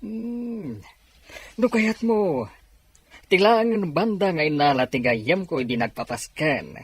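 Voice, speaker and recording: An actor playing an obliging, teasing middle-aged uncle in a radio drama, with a husky voice. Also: male voice, fairly high pitch, expressive, slow, no room sound, full character voice. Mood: helpful. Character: obliging, teasing uncle helping plan a party.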